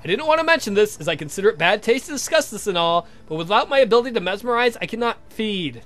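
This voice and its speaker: A man's voice: sits high, lively intonation, quick pace, fairly bright tone, soft background music. A man voicing a proud, touchy vampire count in a visual novel, with theatrical, wry delivery. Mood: frustrated.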